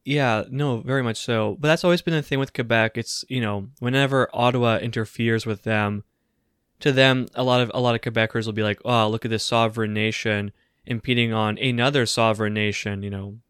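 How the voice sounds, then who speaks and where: A man's voice: mid-range voice, fairly even intonation, a little fast, a bit bright, smooth, no echo, clean studio sound. Conversational man co-hosting a podcast. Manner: conversational, hedging, with filler phrases. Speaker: podcast co-host. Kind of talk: casual political chat.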